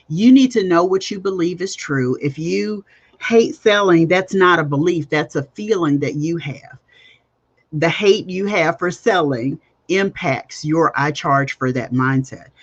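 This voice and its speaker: Emphatic woman podcast host, dull audio. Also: lowish voice. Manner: emphatic, repeats key phrases, direct questions to listeners. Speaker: podcast host and business coach. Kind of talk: livestreamed coaching talk.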